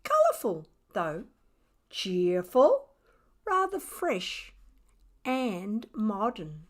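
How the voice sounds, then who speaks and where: Storybook pet cat, male, played by a woman, self-satisfied and full of mock innocence, unhurried, very lively intonation. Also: mid-range voice, full character voice. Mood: smug. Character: smug, defiant male pet cat narrating his mischief. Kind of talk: expressive children's storybook reading.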